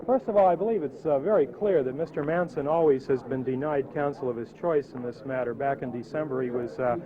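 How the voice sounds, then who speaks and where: A man with an American accent, a defense attorney answering press questions in a halting, informal way. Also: medium pitch. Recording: tinny archival newsreel, crowd behind.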